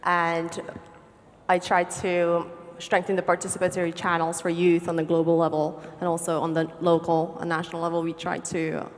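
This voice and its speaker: Czech woman, low flat voice. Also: normal speed. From Czech Republic. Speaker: youth delegate panelist. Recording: reverberant hall.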